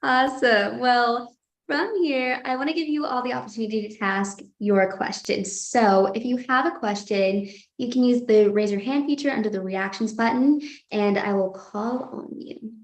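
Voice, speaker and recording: A woman's voice: fairly high pitch, moderate pace. Upbeat woman host in an echoey room. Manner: upbeat, encouraging. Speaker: event host. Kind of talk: hosting an online panel. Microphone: video call.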